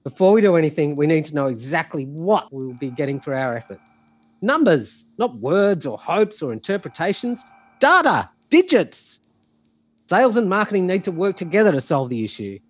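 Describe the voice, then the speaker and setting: An actor playing a stern, demanding company boss in a theatrical audio musical, speaking in emphatic commands. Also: male voice, fairly high pitch, sing-song, normal speed, no room sound.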